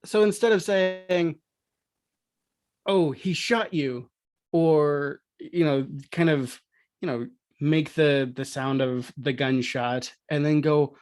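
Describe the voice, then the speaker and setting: Male podcast guest, conversational and somewhat halting explainer, recorded dry. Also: fairly high pitch, darkish tone, no echo. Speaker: podcast guest, audio drama producer. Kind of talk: casual explanatory chat.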